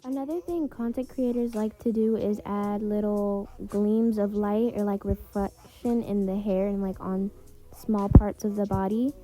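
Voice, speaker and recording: A woman's voice: medium pitch, steady tone, unhurried, low-quality recording. A woman giving a casual, chatty step-by-step art tutorial voiceover, speaking directly to her viewers. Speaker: tutorial host, content creator. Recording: soft music behind the voice. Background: background music.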